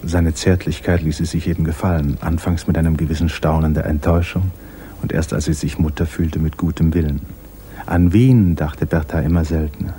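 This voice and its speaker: A man narrating a literary radio drama in a measured, composed storytelling manner. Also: rumbling low, little pitch movement, dark tone, slight background noise. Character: calm omniscient male narrator of a literary tale.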